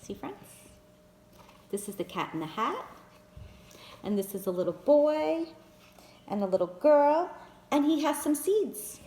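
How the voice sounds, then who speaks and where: A woman reads a picture book aloud to small children, slowly and with a bouncy, sing-song rise and fall. Her voice sits fairly high, and she keeps turning to her listeners as friends to show them the pictures. The sound carries an echo from the room. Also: big pitch swings, dark tone, slight background noise. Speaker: children's storyteller. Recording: echoey room.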